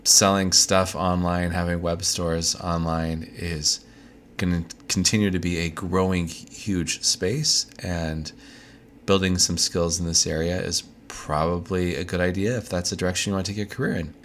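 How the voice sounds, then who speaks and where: A man's voice: sits low, steady tone, normal speed, a bit bright, a little hiss or hum. A man hosting a casual news roundup, talking loosely with fillers and asides while getting over a cold. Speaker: tech video host. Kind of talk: casual monthly news overview.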